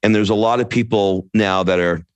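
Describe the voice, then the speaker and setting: A low-voiced man hosts his podcast. He reads the sponsor messages with upbeat energy, then eases into soft, measured questions for his guest. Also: steady tone. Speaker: podcast host and coach. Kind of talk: scripted show intro, then interview questions.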